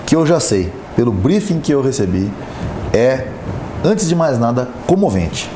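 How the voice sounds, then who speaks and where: A man hosting a casual business talk show, chatty and lively as he introduces the guest and plugs sponsors. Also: medium pitch, unhurried. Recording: echoey studio with background noise.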